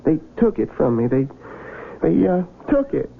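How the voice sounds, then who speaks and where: An actor playing a confused, earnest young wounded Civil War soldier with an American accent and a halting, stammering delivery, in 1970s radio drama style. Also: male voice, a little high, sing-song, gravelly, heavily acted. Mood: pleading, urgent. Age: young adult. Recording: noisy, tinny old radio broadcast.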